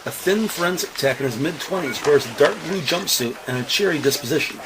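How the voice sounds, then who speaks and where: A man's voice: fairly high pitch, expressive, fairly quick, a crowd in the background, rough recording. A man narrating a scripted crime audio drama in the present tense.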